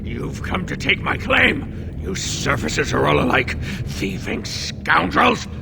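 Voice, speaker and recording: A crazed old video game scavenger, paranoid and possessive. His high voice swings wildly as he rants. Clear noise runs behind him. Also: sing-song, heavily acted. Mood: paranoid, hostile. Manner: suspicious, ranting, drawn-out threats.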